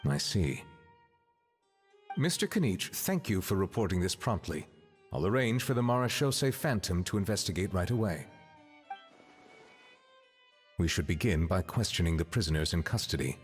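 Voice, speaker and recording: An actor playing a composed, courteous chief judge in a video game, speaking in a formal, measured, polite way. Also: male voice, low-pitched, lively intonation, husky. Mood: composed, serious. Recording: hall-like reverb. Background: soft orchestral music.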